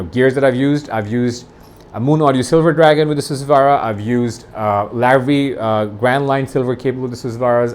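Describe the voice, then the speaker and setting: A man's voice: mid-range voice, slight background noise. Enthusiastic rambling man reviewing gear. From Bangladesh. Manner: enthusiastic, rambling, restarts phrases often. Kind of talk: unscripted review monologue.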